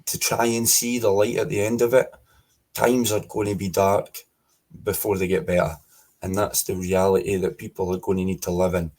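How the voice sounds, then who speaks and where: A Scottish man speaking forcefully as a guest in a political interview, repeating his points with emphasis. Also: low voice, flat delivery, moderate pace. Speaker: podcast guest.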